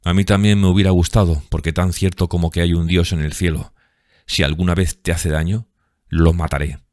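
Hombre haciendo de adolescente hosco, resentido, intenso. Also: male voice, sits low, fairly even intonation, fairly quick, no echo, clean studio sound, lightly acted. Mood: threatening. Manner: gruff, resentful, forceful. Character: sullen, jealous, hot-tempered sixteen-year-old working-class brother.

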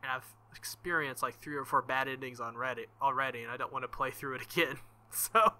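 A young man reading a visual novel aloud and commenting, animated and thinking out loud. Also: mid-range voice, brisk. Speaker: let's play host.

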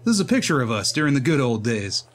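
Man playing a haunted drifter, expressive pitch. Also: quick pace, in a large hall, a little hiss or hum, lightly acted. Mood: regretful. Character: downcast, haunted drifter mourning a lost friend. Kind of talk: comic-book dub voice acting. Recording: voice under loud music. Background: loud music.

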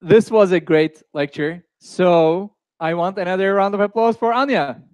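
A man's voice: high-pitched, moderate pace, a little mellow, a little hiss or hum. A young man hosting a live online coding course, chatty, with fillers and restarts. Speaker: course host.

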